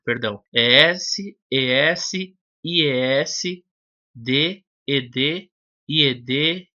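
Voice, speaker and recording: A man's voice: fairly high pitch, pushed and bright. A man teaching a language lesson, explaining step by step in a methodical, didactic way and addressing the viewer directly. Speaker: English teacher. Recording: dull lo-fi video audio.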